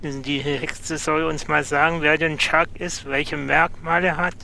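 A man's voice: on the high side, flat delivery, unhurried, vocal fry, sharp tone. A man casually taking part as a player in an improvised roleplay audio adventure. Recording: faint ambient, vehicle-like background noise.

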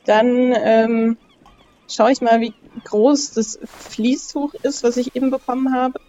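A woman's voice: a little high, level tone, slow pace, warm tone, dull audio, a little hiss or hum. A woman in casual role-play as a game player, thinking aloud a little tentatively as she decides what to do.

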